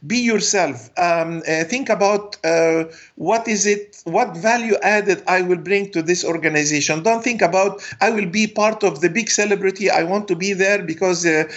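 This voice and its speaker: A middle-aged or older man, a native Arabic speaker with an Arabic accent, giving advice in an interview in a halting way full of hesitations. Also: high voice, a bit bright, dull audio. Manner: halting, hesitant delivery with frequent long fillers. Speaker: guest, sports business consultant.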